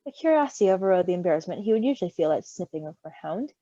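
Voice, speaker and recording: A woman reading a story in an animated way, with giggly, casual asides. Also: on the low side, very fast, dry recording. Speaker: story reader. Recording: slightly dull, close dry recording.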